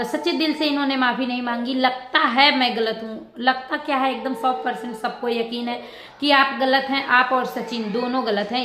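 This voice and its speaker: Female vlogger, higher-pitched, casual and repetitive commentary, roomy echo with some background noise. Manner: rambling, repetitive, halting. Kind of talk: casual reaction commentary addressed to viewers.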